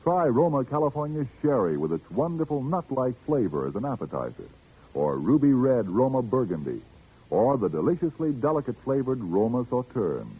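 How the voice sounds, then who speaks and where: Man, 1940s radio commercial announcer, persuasive sales pitch, husky low voice, dull old broadcast. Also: sing-song, normal speed.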